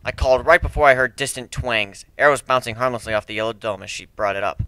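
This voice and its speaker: A man's voice: mid-range voice, steady tone, quick pace, a bit bright, smooth, no echo. A man narrating a first-person story as a dramatic reading, with a wry, sardonic manner.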